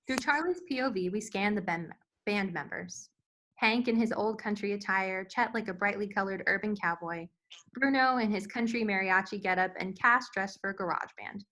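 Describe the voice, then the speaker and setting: A woman's voice: mid-range voice, normal speed, fairly bright tone, low-quality recording. A woman reading screenplay stage directions as scripted narration at a table read.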